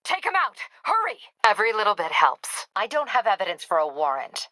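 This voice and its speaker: Bright-voiced, no-nonsense police captain. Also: female voice, medium pitch, wildly swooping pitch, fairly quick, dry recording. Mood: urgent, then businesslike. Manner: clipped, no-nonsense, authoritative. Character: tough, businesslike female police captain, wry and dutiful. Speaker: video game voice actor. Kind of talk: video game dialogue acting.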